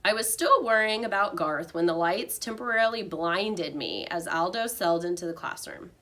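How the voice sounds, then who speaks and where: A woman reading a children's storybook aloud like a teacher, with expressive narration. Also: on the low side, normal speed.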